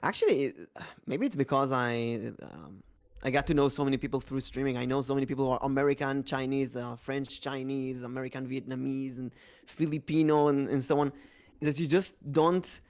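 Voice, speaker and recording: A woman co-hosting a podcast, speaking in measured, drawn-out phrases with fillers. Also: a bass voice, darkish tone. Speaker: podcast co-host. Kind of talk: casual podcast chat.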